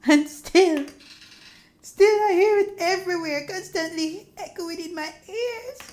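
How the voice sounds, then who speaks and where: Woman acting a frantic, high-voiced frightened caretaker. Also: a little slow, darkish tone. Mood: frantic, distraught. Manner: panicked, emphatic, pleading. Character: frightened, distraught woman caretaker pleading over the phone.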